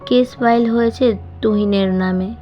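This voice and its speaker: A woman's voice: fairly high pitch, steady tone, a little slow. A woman reading a novel aloud as a scripted, dramatic audio story narration. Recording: dull sound with music behind. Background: music.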